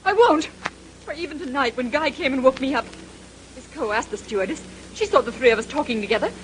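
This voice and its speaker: An actress playing a high-strung, defensive, emotional showbusiness woman in a 1930s radio melodrama. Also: expressive, fast. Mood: indignant, agitated. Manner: emotional, dramatic, insistent. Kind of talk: 1930s radio drama acting. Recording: noisy vintage 1930s radio recording.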